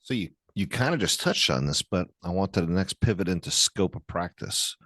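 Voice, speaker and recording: A man's voice: sits low, lively intonation, normal speed, a bit bright, no echo, studio quality. A man hosting a medical podcast, asking long, searching questions with some restarts. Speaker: podcast host, surgeon interviewer. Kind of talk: conversational podcast interview.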